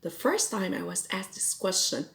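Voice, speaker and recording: A woman's voice: lowish voice, animated, gravelly, fairly bright tone. A Filipino woman whose first language is Cebuano, teaching English expressions in a careful, explaining lesson. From Philippines. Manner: slow, careful explaining with quoted example phrases. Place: home in Ireland.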